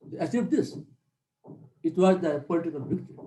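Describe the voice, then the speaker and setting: A man's voice: a little high, animated, brisk, mellow. A middle-aged man, giving an earnest classroom lecture as a military instructor and repeating key points for emphasis. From Nepal. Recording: roomy echo.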